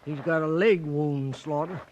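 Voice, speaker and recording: Man acting a high-voiced, watchful cowhand. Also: a little slow, darkish tone, lo-fi sound, close to an everyday voice. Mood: suspicious. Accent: American. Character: watchful, suspicious cowhand sidekick. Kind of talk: 1950s radio western acting. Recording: noisy old radio broadcast.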